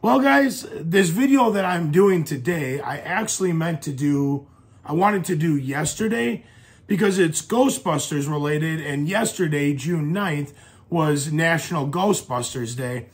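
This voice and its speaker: A slightly hoarse man with a somewhat higher-pitched voice chats loosely through a show-and-tell vlog. He rambles, restarts and leans on fillers as he talks. The hoarseness from a lingering cold stays in the voice throughout. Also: expressive, measured pace. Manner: chatty, rambling, filler-heavy. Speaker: YouTube collector vlogger.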